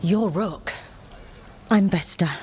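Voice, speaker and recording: A woman's voice: mid-range voice, slow pace, background noise, natural voice. A woman playing an earnest, wounded warrior in a fantasy game. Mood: urgent, pained. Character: earnest wounded female warrior of a monster-fighting order. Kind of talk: scripted fantasy game dialogue.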